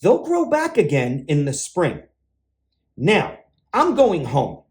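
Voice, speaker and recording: A man voicing a patient, sensible bird in a children's storybook, reassuring and gently firm with a worried friend. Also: high-pitched, wildly swooping pitch, slow pace, full character voice. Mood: weary explaining. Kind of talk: children's picture book read-aloud.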